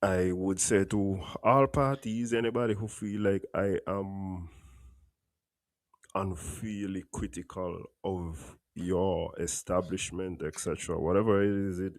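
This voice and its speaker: A Caribbean man with a low, gravelly voice hosts a live political talk show. He sounds a little stuffy from a cold and speaks bluntly, close to a dry, unechoing mic. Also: steady tone, no room sound. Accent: Caribbean English. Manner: plainspoken, blunt, unhurried monologue. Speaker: host. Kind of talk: political commentary livestream. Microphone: home studio mic.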